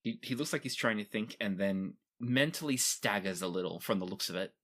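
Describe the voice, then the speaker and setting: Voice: low-pitched, expressive, fairly quick, a bit bright, slightly rough. A game master narrating scenes in a tabletop roleplaying game and speaking for its world.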